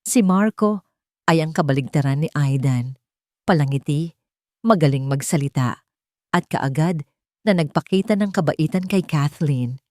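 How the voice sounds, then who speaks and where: A woman's voice: moderate pace, long pauses, a little mellow, no room sound, studio quality. A woman narrating a romance story in a scripted storytelling style, giving the characters' quoted lines herself. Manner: plays every character herself, shifting pitch for quoted lines.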